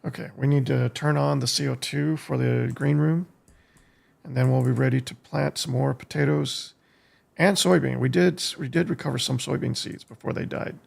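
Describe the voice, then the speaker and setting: An American man streaming a game, giving casual live commentary in a halting way as he thinks aloud, with a gravelly, husky voice. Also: medium pitch, fairly even intonation. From United States. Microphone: streaming desk microphone.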